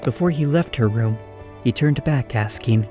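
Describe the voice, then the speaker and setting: A man reads scripted story narration. His voice is soft-edged and warm in tone, sitting at an average male pitch. Music plays faintly behind him. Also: normal speed.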